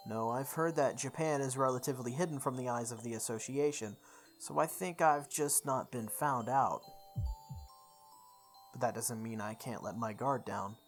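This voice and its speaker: A let's play host narrates a visual novel in a mid-pitched voice over music. The reading is deliberate, like a story told aloud, and now and then trips on a word. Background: background music.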